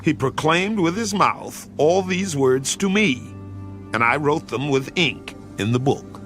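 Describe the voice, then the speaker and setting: An actor playing an earnest Hebrew scribe in a dramatized audio Bible, explaining himself formally. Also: male voice, fairly high pitch, expressive, measured pace, fairly bright tone, soft background music.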